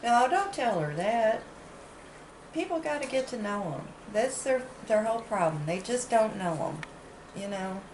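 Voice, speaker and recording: A stuffed-up woman chatting playfully and animatedly, with excited jumps in her voice. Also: on the high side, measured pace. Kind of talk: casual chat. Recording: echoey room with faint noise.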